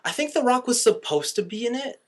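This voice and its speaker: A man's voice: very high-pitched, sing-song, a little fast, a bit bright. A man hosting a casual movie review podcast, giving opinions and often rewording himself as he goes. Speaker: podcast host.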